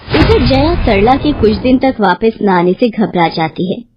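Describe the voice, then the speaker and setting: A woman's voice: a little high, animated, measured pace, slight background noise. A woman storyteller reading scripted story narration on a slightly muffled recording.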